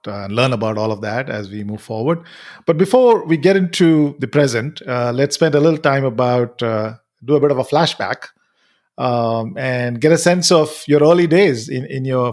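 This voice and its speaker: A man's voice: mid-range voice, darkish tone. A man hosting a podcast interview, speaking hesitantly with many uhs and you knows. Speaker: podcast host. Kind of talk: casual interview.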